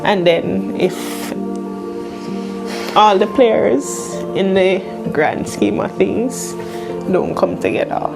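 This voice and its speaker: A woman's voice: medium pitch, slow pace, creaky, low-quality recording. A young woman with a Jamaican accent speaking in articulate, formal wording as an interviewed student leader. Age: young adult. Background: faint background music.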